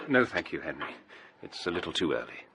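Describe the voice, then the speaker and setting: An actor playing a polite, level-headed gentleman friend with an English accent in a radio drama, formal and courteous. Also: a bass voice, very animated, brisk, background noise. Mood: soothing.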